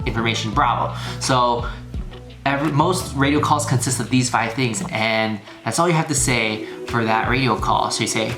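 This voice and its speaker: A man's voice: mid-range voice, moderate pace. Guy doing a casual how-to video, informal, repeats himself to make points, echoey room, music in the back. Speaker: flying instructor, video host. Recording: roomy echo, music behind. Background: background music.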